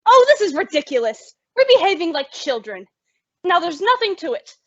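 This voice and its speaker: A woman's voice: very high-pitched, very animated, moderate pace, a bit bright, no echo, heavily acted. A woman playing a sensible, exasperated young roommate who also narrates an old-time radio comedy in a wry, bossy way. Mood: exasperated, wavering. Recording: dull, lo-fi, dry audio.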